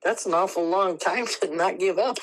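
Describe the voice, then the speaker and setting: A woman's voice: fairly low pitch, moderate pace. A woman chatting informally on a phone call, curious and full of questions. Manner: informal, inquisitive, hedging with "like" and "you know". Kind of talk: casual chat. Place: phone conversation.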